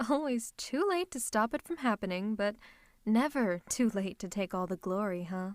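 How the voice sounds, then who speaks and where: A woman's voice: medium pitch, normal speed, darkish tone, no room sound, natural voice. Teasing supervillain woman acting, close dry sound. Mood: smug. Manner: affectionate, teasing, theatrically menacing. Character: playful, teasing female supervillain fond of her hero. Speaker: voice actor. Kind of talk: ASMR roleplay acting, one-sided conversation.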